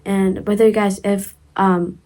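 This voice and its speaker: A woman's voice: mid-range voice, flat delivery, unhurried, a little mellow. Young woman, Ukrainian accent, casual rambling vlogger, lots of fillers. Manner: chatty, rambling, many fillers and restarts, giggly asides. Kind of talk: casual storytime vlog.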